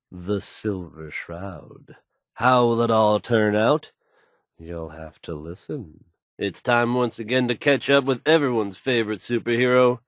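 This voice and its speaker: An actor playing a smooth, self-assured male radio DJ and announcer in a video game. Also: mid-range voice, dry recording, studio quality, close to an everyday voice. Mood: showy, dramatic.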